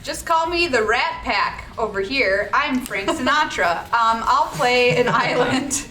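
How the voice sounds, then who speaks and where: Card player: bright, slow-talking woman, wry jokes; distant roomy mic. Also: on the high side, expressive. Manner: jokey, self-mocking. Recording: echoey room, mic at a distance.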